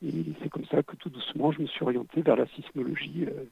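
A man's voice: fairly high pitch, fairly even intonation, fast, dry recording. A man from the French Alps, a guest scientist, explaining carefully with many restarts, heard over a muffled phone line in a radio interview. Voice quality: stuffy, husky.

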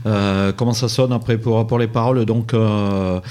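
A man's voice: fairly low pitch, steady tone, normal speed, warm tone. A man hosting a radio interview, rambling and jokey, with many fillers and restarts. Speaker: radio host.

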